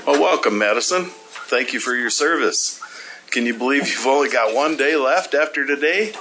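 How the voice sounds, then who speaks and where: A man chairing a legislative committee hearing in a hearing room, easygoing and folksy, speaking into a desk microphone with plenty of filler pauses. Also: mid-range voice, animated, sharp tone, a little hiss or hum.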